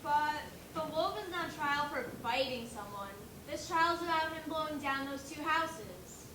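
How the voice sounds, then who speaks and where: A woman's voice: high voice, animated, measured pace. A young woman playing a sensible, authoritative pig foreman in a stage comedy, explaining things in an orderly way. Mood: matter-of-fact. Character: sensible, take-charge pig foreman of a jury. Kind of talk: amateur school stage comedy. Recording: distant, echoey, noisy stage recording.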